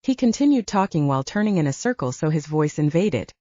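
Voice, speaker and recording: A woman's voice: low-pitched, sing-song, brisk, warm tone, dry recording, muffled recording. A woman reading scripted fiction as an audiobook narrator.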